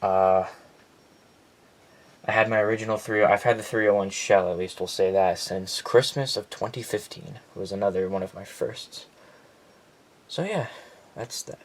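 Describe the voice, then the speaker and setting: A man's voice: lowish voice, steady tone, moderate pace, pauses often. A man giving a casual, unscripted showcase of his collection, rambling, correcting himself and speaking bluntly. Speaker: collector presenting weather radios. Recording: clear background noise.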